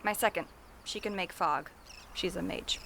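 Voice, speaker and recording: A woman's voice: on the low side, moderate pace, creaky, fairly bright tone, slight background noise. A woman playing a blunt, terse young adventurer on the run in a fantasy audio drama. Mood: blunt, firm. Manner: blunt, clipped.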